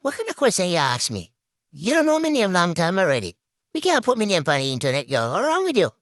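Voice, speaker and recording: Lively Jamaican woman, dry sound. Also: fairly low pitch, fast, no room sound. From western Jamaica. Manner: animated patois answers.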